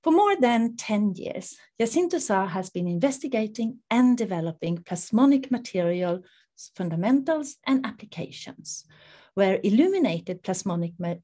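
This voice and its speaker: A middle-aged woman with a Swedish accent, formally introducing an online seminar as its host in a measured way. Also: mid-range voice, mellow. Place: indoors in front of a dark backdrop, video call.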